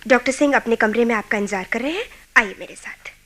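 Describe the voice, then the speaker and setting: A woman's voice: fairly high pitch, fast talker, fairly bright tone, slight background noise, close to an everyday voice. A woman acting a polite, businesslike nurse in a radio play. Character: polite, businesslike female nurse at a nursing home.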